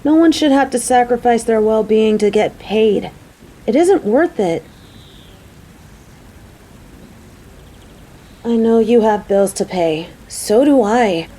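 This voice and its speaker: Casting: actress as female warehouse coworker, smitten, motherly and fretful; higher register, soft warm tone; intimate romantic audio roleplay, earnest delivery. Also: lively intonation, a little slow, rough recording. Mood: worried, caring. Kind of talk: scripted romantic audio roleplay spoken to the listener.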